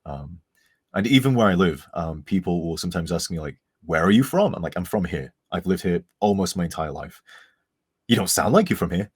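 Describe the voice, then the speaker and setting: A man's voice: low-pitched, husky, no room sound, studio quality. A man from England with a mostly neutral English accent and a slight northern tint, chatting casually and rambling on a live charity stream. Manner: chatty, rambling, self-deprecating.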